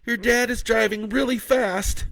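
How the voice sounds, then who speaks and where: A man voicing a frantic middle-aged mother, shrill and pleading. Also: lively intonation, a little slow, darkish tone, no room sound, studio quality.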